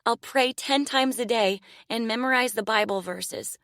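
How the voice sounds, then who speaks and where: A woman voicing a frightened young girl who pleads and cries in a high voice. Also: a little slow, a bit bright, dry recording, clean studio sound. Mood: tearful prayer.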